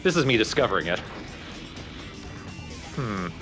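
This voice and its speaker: A man's voice: on the low side, big pitch swings, a little slow. A man hosting a let's play, explaining a video game in casual commentary. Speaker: let's play host and player. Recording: game music behind the voice. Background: video game music and effects.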